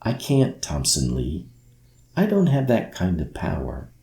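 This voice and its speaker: A gentle, mysterious spirit of sleep from a children's storybook, played by a male reader. He speaks softly and calmly in a mellow tone, taking his time and gliding up and down. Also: deep voice, unhurried, creaky, reverberant, heavily acted. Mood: calm, regretful. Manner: soft, calm, murmuring. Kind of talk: children's bedtime storybook reading.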